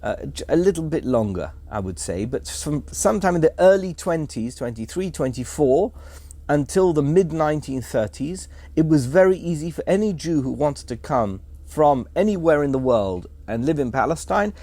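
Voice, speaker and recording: A man's voice: fairly high pitch, animated, moderate pace, warm tone, dry recording. A man appearing as a guest on a podcast, explaining history fluently in a lecturing way with some fillers. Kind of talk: casual explanatory podcast talk.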